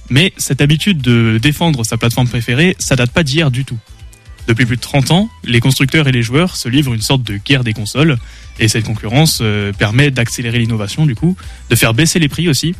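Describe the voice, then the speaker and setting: A young man reads his gaming column on student radio, with hesitations and small restarts along the way. His voice sits at a middle pitch, with faint music in the background. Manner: reads a script with frequent hesitations. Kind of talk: reading a prepared radio segment. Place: radio studio. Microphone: studio mic.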